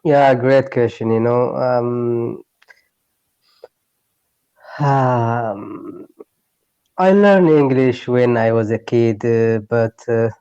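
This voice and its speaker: A man from Eritrea, a Tigray speaker learning English, speaks in halting phrases, often searching for words. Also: medium pitch, dark tone, clear, resonant tone, no room sound, highs cut off. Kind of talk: online tutoring conversation. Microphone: video call.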